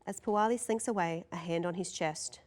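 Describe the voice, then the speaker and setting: A woman's voice: lowish voice, normal speed, a little mellow. A woman reading scripted narration and stage directions as narrator at a table read.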